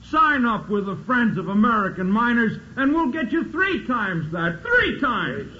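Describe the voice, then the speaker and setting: An actor playing a pushy agitator who talks persuasively with a hard-selling manner. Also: male voice, very high-pitched, big pitch swings, a little slow, bright, forceful tone, heavily acted. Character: pushy male agitator handing out propaganda. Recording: muffled old radio broadcast.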